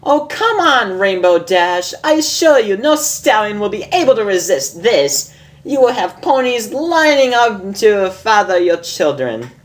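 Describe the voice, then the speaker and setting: A woman voicing an elegant young female unicorn dressmaker in a storybook reading, prim and theatrical with affected, drawn-out exclamations. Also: mid-range voice, big pitch swings, voice pushed up. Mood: insistent, lofty.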